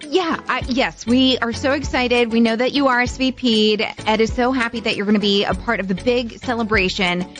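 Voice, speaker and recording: A woman's voice: fairly high pitch, normal speed, hardly any pauses, a bit bright, faint music behind, close to an everyday voice. A woman playing a polite, upbeat party planner on a prank phone call, effusively courteous and businesslike. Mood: chirpy, courteous.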